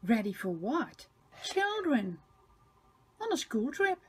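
An older woman narrating a picture book for small children, expressive and emphatic with sing-song repetition. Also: fairly high pitch, takes it slowly, husky. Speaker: storybook reader for children.

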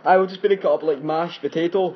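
A man's voice: fairly high pitch, fairly even intonation, quick pace, muffled recording. A young man making a casual prank call, talking in a taunting, repetitive, slangy way on a device microphone. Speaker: prank caller.

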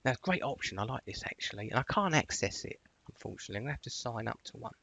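A man narrating a step-by-step software tutorial in an informal, chatty instructional way. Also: medium pitch, very animated, very fast, creaky. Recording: dull, lo-fi, dry close recording.

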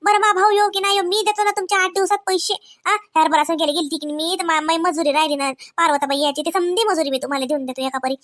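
A woman's voice: extremely high pitch, fairly even intonation, fast talker, hardly any pauses, fairly bright tone. A woman voicing an anxious village debtor in a comedy cartoon, pleading plaintively and repetitively with a Vidarbha Marathi accent. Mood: wheedling. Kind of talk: comedy cartoon dialogue in rural dialect.

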